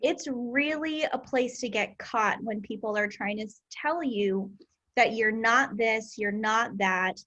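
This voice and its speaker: A woman's voice: fairly high pitch, a little slow, pushed and bright, highs cut off. A woman, an educator hosting a casual discussion, speaking earnestly and emphatically.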